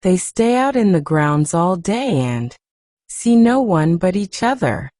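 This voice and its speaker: A woman reader performs a plain-spoken housekeeper from a storybook, full of complaint and exasperation. Her voice swings up and down in pitch, with a soft, mellow tone. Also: big pitch swings, slow pace, dry recording, clean studio sound. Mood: matter-of-fact. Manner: complaining, dialect-laden.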